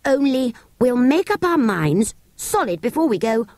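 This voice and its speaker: A woman's voice: a little high, sing-song, a little slow, a little mellow, no room sound, clean studio sound, pitched above the performer's own voice. A woman voicing a plucky, earnest young schoolboy in a children's storybook. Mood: decisive.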